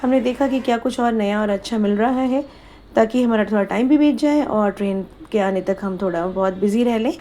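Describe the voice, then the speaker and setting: A woman's voice: fairly high pitch, brisk, dark tone. A woman narrating a family vlog in a chatty, casual way, slipping English phrases into her Hindi. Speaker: vlogger.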